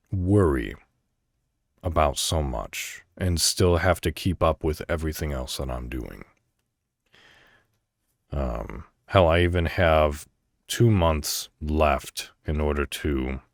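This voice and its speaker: Male American podcast host, very low voice, candid and rambling, recorded dry without room sound. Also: creaky, no echo. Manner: candid, rambling, thinking aloud. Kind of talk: casual solo podcast talk.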